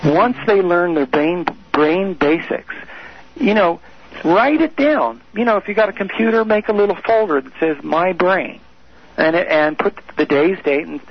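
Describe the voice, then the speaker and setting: A man with a high, husky voice explains and coaches with emphatic repetition. His voice comes through dull, lo-fi radio audio. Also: a little slow. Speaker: guest expert on the brain. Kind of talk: radio call-in talk.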